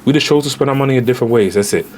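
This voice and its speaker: A man in his late twenties, a travel vlogger giving casual, animated budget travel advice straight to viewers, with slang. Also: mid-range voice, racing. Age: 20s.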